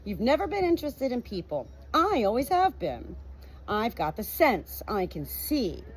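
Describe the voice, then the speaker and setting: A woman's voice: a little high, huge pitch swings, a little slow, slight background noise, pitched above the performer's own voice, poor audio. A woman voicing a haughty, scornful mother with a dramatic, contemptuous way of talking. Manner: contemptuous, dramatic, screeching when triumphant. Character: haughty, scornful, theatrical mother who fancies herself psychic.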